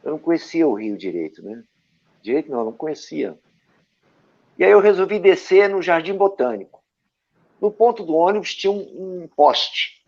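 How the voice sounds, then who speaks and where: A man from Brazil, an actor and director, telling stories from his career as an interview guest, with a lively anecdotal manner and frequent tag questions. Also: a little high, normal speed. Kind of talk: storytelling interview answers. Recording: dull lo-fi audio.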